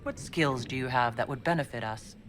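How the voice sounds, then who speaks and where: An actor voicing a wry, self-deprecating leader of a heroic order in a game cutscene. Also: male voice, medium pitch, brisk, over music, lightly acted. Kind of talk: video game cutscene acting.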